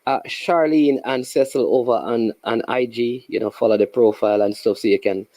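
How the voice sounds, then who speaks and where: Halting man hosting. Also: medium pitch, warm tone, no room sound. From Jamaica. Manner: friendly, halting, repeats words. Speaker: host. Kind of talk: casual interview.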